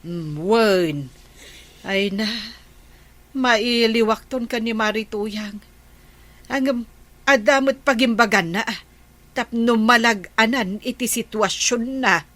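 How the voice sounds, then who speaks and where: Actress as concerned Ilocano village friend, measured pace, fairly high voice, radio drama. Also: female voice, a bit bright. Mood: sympathetic. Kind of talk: comedy radio drama acting.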